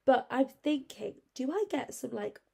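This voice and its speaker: A woman's voice: high-pitched, measured pace, gravelly, mellow, breathy edge. A young woman hosting her podcast from her bed, stuffed up with a cold and chatting in a rambling, casual way. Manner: chatty and rambling, with frequent fillers. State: congested with a cold. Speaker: podcast host. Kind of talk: casual solo podcast chat. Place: at home, in bed.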